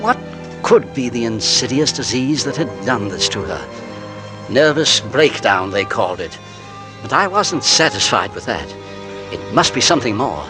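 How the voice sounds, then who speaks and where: An actor playing a polite, nervous husband who narrates a 1940s radio drama. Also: male voice, medium pitch, sing-song, moderate pace, natural voice. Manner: genteel, polite, nervously hesitant. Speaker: narrator and lead. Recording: old radio broadcast with music.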